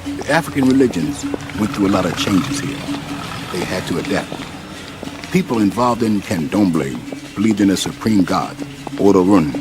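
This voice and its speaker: A genial expatriate scholar in a radio play, easygoing and explanatory. His low voice rises and falls in a lively way, with music clearly playing underneath. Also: normal speed. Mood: explaining, absorbed. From United States. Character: genial expatriate man, scholarly old friend. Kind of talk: naturalistic radio drama dialogue.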